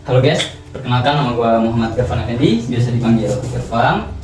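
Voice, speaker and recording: A man's voice: mid-range voice, a little fast. 20s student guy talking casual and slangy, echoey room, music under it. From Indonesia. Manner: informal slangy explaining with restarts. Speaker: student interviewee. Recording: roomy distant mic with music.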